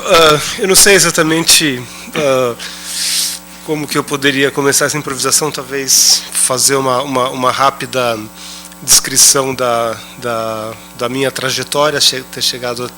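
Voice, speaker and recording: Man, bright voice, hesitant improvised talk, faint hum. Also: mid-range voice, fairly even intonation, moderate pace. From São Paulo state, Brazil. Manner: hesitant, many "ah"s and restarts. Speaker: round-table panelist. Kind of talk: improvised talk at a round table.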